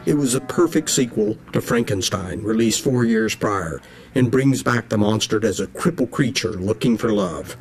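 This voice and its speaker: A middle-aged man presenting an auction in scripted narration, measured and enthusiastic. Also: lowish voice. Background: soft music.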